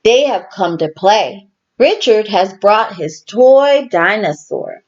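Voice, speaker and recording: Female teacher for children's read-aloud: unhurried, very expressive, simple deliberate phrasing, lo-fi recording. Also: medium pitch. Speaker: teacher reading to her class.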